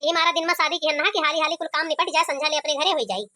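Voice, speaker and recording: Woman acting impatient cartoon wedding character, Awadhi accent, chatty, bright quick voice. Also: sits high, steady tone. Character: impatient, chatty wedding party member hurrying the priest.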